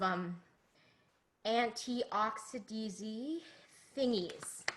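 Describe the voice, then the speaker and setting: A woman's voice: fairly high pitch, a bit bright. A woman playing a naive, sheltered young girl in an online play, chatting earnestly as if hosting her own cooking video. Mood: eager, chatty. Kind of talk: dramatic monologue as online cooking show.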